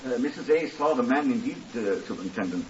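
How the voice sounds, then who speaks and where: A man's voice: medium pitch, lively intonation, fast talker, over a noisy crowd, lightly acted. An actor playing a meek, flustered, mournful man in a 1950s radio drama, hesitant and fussily deferential. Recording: hissy, muffled, distant 1950s radio recording.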